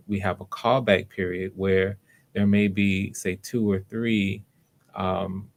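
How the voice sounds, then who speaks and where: A man hosting live online auditions, talking casually to viewers in an unhurried, explanatory way, thinking aloud as he goes. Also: fairly low pitch. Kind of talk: casual livestream talk to viewers.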